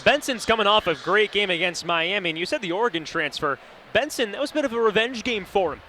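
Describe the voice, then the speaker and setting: Young guy breaking down football plays on radio, bright highish voice, faint stadium noise. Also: lively intonation, quick pace. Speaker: color commentator. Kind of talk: live radio sports analysis. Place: sports broadcast position inside a domed stadium. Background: stadium ambience.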